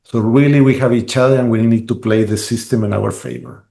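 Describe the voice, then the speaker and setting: A man's voice: mid-range voice, fairly even intonation, a little fast, gravelly, dark tone, roomy sound. A man, a guest on a podcast interview who rambles with restarts and keeps asking "right?". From Chile.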